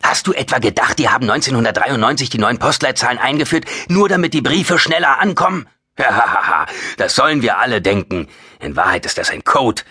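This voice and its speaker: A man's voice: sits low, very animated, brisk, bright, forceful tone, husky, dry recording, studio quality, exaggerated voice. A man voicing a short, chatty, paranoid homeless man in an audiobook, rambling insistently and nervously. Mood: agitated, conspiratorial.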